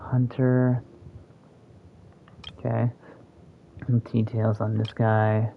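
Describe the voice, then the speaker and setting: A man livestreaming a game, muttering short, casual reactions in a gravelly voice. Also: mid-range voice, flat delivery, very slow, narrow, tinny audio, a little hiss or hum. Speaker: livestreaming gamer.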